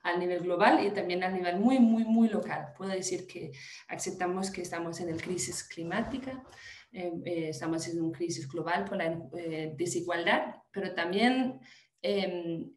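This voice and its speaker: A woman's voice: fairly low pitch, echoey room. Woman speaking careful Spanish. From Denmark. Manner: hesitant, many fillers and restarts. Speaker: guest activist. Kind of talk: conversational interview answer.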